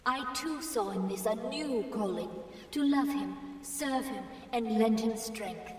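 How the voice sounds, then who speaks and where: An actress voicing a devoted female pawn warrior who recalls her master in a slow, solemn game lore monologue, with a distant, long echoing reverb. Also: fairly high pitch, darkish tone. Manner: slow, solemn, measured narration.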